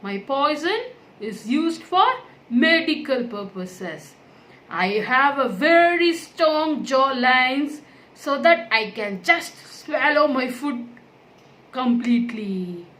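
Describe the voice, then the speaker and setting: A woman voicing a friendly snake puppet in a children's educational show, deliberately repeating each fact for young listeners. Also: high-pitched, animated, a bit bright, exaggerated voice. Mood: friendly, instructive.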